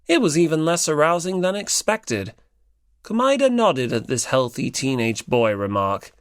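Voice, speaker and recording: A man narrating an audiobook story in a mid-pitched voice with very lively intonation. The recording is close and dry, and he reads from a script. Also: moderate pace, smooth, no room sound.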